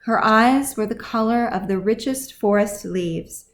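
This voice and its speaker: A woman's voice: a little high, measured pace, slight background noise. A woman reading scripted fantasy fiction as audiobook narration, as the author of the story.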